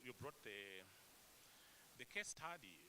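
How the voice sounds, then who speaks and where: A man from Zambia speaks up at a meeting, earnest and emphatic, his pitch swinging widely as he presses his point with rhetorical questions and frequent hesitations. The room mic carries a clear steady hiss under his voice. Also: slow. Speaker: conference delegate. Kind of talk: impassioned floor remarks at a regional meeting.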